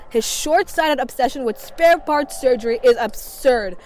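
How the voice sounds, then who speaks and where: Woman playing an earnest, high-voiced idealistic scientist. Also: unhurried, darkish tone, a little hiss or hum. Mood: indignant. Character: earnest, idealistic young woman scientist, nervous but determined. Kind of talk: audio drama acting.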